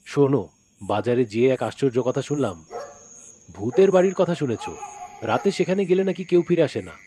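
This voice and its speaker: A man's voice: medium pitch, animated, a little fast, warm tone, slight background noise, natural voice. A man voicing a bold, boastful ex-policeman uncle in a cartoon. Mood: intrigued.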